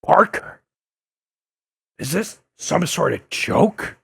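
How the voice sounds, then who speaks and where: A man playing an older, embittered, grieving newspaperman in an audio drama, gravelly and husky, with halting, emphatic delivery. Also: high voice, expressive, no room sound, clean studio sound, full character voice. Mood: suspicious.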